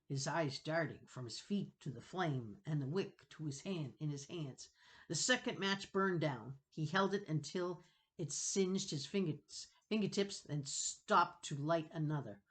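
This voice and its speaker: A woman's voice: lowish voice, fairly bright tone. A woman narrating a children's novel dramatically, reading with expression and suspense. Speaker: storybook reader.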